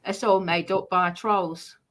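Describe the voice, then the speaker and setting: Bright-voiced woman explaining casually. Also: lowish voice, fairly even intonation, measured pace.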